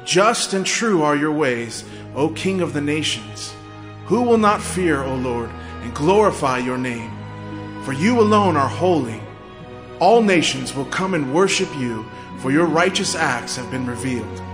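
Casting: reverent heavenly worshipper, slightly high voice, scripture drama. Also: male voice, lively intonation, a little slow, music in the background.

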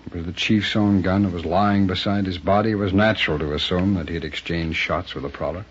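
An actor playing a curt, defensive city attorney in an old radio drama. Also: male voice, low voice, steady tone, fairly quick, natural voice. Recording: dull old radio recording with faint hiss.

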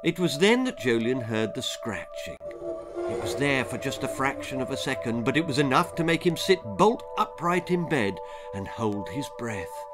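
A man in his sixties reading a children's story aloud in a theatrical, suspenseful, deliberate narration. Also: medium pitch, expressive, music in the background. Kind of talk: dramatic storytelling, scripted narration. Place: small book-lined room indoors.

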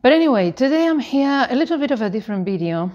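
A woman of about fifty chatting casually to camera as a lifestyle vlogger, in a halting, meandering, explanatory way. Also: high voice, very animated, a little mellow, highs cut off. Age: 49, about to turn 50. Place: living room with tiled floor, indoors. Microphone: clip-on lapel microphone.

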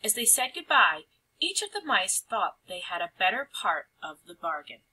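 A woman reading a children's storybook aloud in an expressive, animated and carefully phrased narration. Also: mid-range voice, moderate pace, husky, low-quality recording. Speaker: storybook reader.

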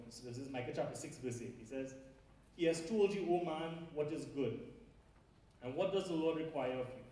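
Man, Trinidadian accent, conversational sermon, slightly high voice, very roomy lecture hall. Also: mellow. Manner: conversational, with fillers and asides. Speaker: preacher. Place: large lecture hall with a big audience. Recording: very echoey hall, voice heard from far off.

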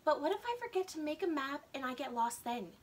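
Woman playing an excitable, high-voiced little boy. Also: quick pace. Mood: worried. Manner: excitable, childlike, expressive storybook delivery. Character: eager, boastful, anxious little kindergarten boy.

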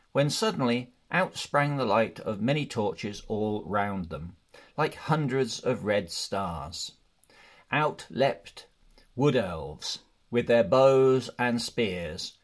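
Slow-paced man reading a storybook. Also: mid-range voice. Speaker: audiobook reader. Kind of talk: storybook narration.

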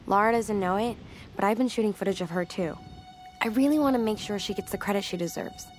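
Casting: actress for chatty, spirited young woman filmmaker, game diary, medium pitch, light music bed. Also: fast, natural voice. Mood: exasperated, confiding. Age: young adult. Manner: conversational, candid, expressive. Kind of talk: casual video diary in a game.

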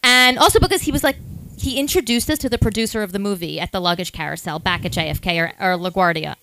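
A woman's voice: medium pitch, fast, fairly bright tone, no room sound, poor audio. A woman in her thirties, a podcast co-host, telling a long rambling story with lots of asides at a live event.

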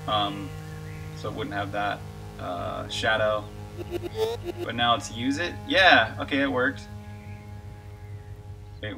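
A man's voice: fairly low pitch, rough recording. A man streaming his game development live, talking casually through his code and reacting to chat. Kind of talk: live coding stream, thinking aloud.

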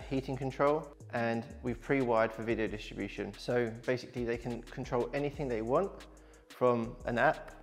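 A man in his thirties explaining an installation in a matter-of-fact, instructional way, like a tradesman presenting a walkthrough. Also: medium pitch. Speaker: smart home installer presenting his company's work.

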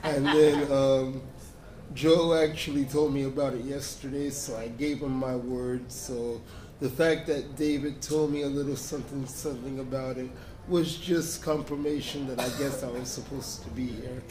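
A man in an audience speaking into a handheld mic in a large convention hall, telling an earnest, unhurried story to a panel. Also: mid-range voice, fairly even intonation, background noise. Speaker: audience member. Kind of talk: spontaneous speech to a panel.

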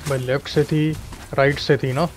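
A man's voice: medium pitch, flat delivery, racing, heavy background noise. A man with an Indian accent giving casual gameplay commentary as the host of a gaming video.

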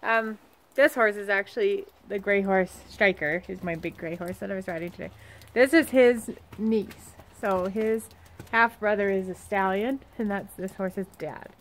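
A woman's voice: medium pitch, a little slow, a little hiss or hum. Chatty young woman vlogging at a barn. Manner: chatty, talks fondly to her horses. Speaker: vlogger, horse trainer. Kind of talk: casual vlog chat and voice-over. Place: outdoors at a barn and paddock. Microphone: phone held at arm's length.

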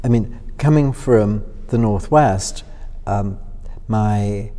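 A middle-aged man with a genteel Northwest English accent worn smooth by years in London, reminiscing in a loose, halting way full of ums and restarts. His voice sits low and mellow, and a long hall reverb trails after it. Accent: upper-middle-class Northwest English softened by London. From Northwest England. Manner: musing, many fillers and restarts, wry laughs. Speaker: interviewee. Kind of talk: reflective interview answers.

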